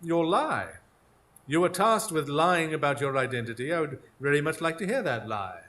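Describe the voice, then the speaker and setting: An actor playing a shrewd Victorian gentleman detective in a live radio drama, speaking in formal, articulate deductions. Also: a little high, lively intonation, moderate pace. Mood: dry, polite. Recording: noisy live stage recording.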